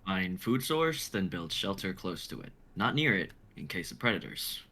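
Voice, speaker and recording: Man acting fairly low voice, blunt young survivor, fatalistic, practical, amateur sci-fi drama reading. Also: very animated, moderate pace, sharp tone, heavily acted.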